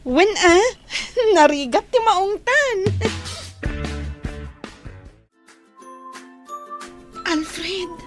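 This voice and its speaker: A woman playing an earnest, gentle young village woman in love in an Ilocano radio drama. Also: high voice. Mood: amused, shy.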